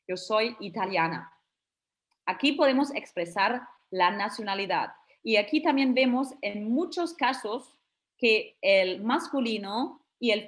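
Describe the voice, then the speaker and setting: A woman teaches a beginners' webinar at an unhurried pace. She explains patiently and pronounces each example phrase slowly and carefully, in a mid-range voice. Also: mid-range voice. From Germany. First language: German. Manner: slow, careful demonstrations of German phrases between Spanish explanations. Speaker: German teacher.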